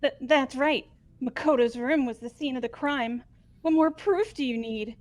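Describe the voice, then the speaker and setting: Girl voice actor doing a shy nervous teen student, really high voice, stammery, video game dub. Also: moderate pace, close to an everyday voice. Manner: hesitant, stammering. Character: timid, anxious, soft-hearted teenage student.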